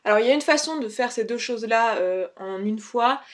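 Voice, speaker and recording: A woman's voice: on the high side, fairly quick. A woman from France, speaking as a video blogger who gives casual, unscripted tips in a conversational way, rephrasing as she explains. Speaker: video blogger giving language-learning tips. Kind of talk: casual, unscripted advice to camera.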